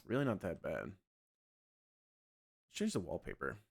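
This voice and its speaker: A man's voice: sits low, normal speed, creaky. Casual man with a slightly scratchy voice. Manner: relaxed, rambling, talks to chat. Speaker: streamer. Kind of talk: casual livestream chat.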